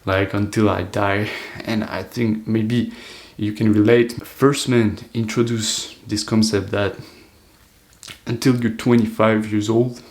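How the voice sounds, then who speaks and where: A man's voice: fairly low pitch, little pitch movement, slow, a little mellow. An eighteen-year-old man talking to camera in an earnest, loose, reflective monologue, full of "like" fillers. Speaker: self-improvement vlogger.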